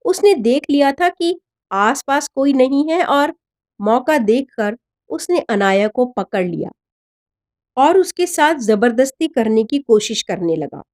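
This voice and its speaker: A woman reading a scripted story in an expressive, dramatic narrator's manner. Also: high-pitched, takes it slowly. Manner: expressive, voices the dialogue of characters.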